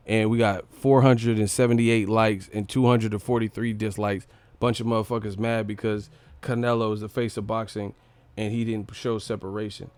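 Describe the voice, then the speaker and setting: A man's voice: fairly low pitch, a little mellow, dry recording. Blunt man talking boxing, dry close sound. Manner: blunt, opinionated, slangy, swearing. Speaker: livestream host, boxing commentator. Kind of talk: casual unscripted livestream fight breakdown.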